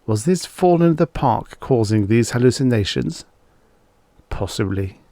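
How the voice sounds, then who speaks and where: A man reading a story aloud as an audiobook narrator, in a measured, deliberate manner. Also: medium pitch, lively intonation, dark tone, no room sound.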